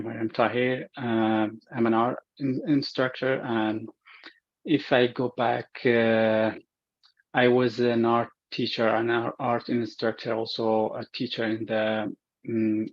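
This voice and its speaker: A slow-talking man introduces his paintings in a level, even voice, pausing often to find his words. The sound is dry and a bit muffled, as if captured on modest equipment in a gallery. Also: mid-range voice, no echo. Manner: halting, searching for words, many fillers. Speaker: visual artist. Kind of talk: speaking at an art show.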